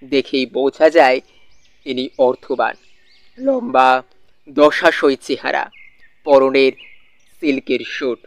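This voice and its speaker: A man narrating a literary suspense story in a measured way, with deliberate pauses. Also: medium pitch, vocal fry, no room sound. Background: soft music.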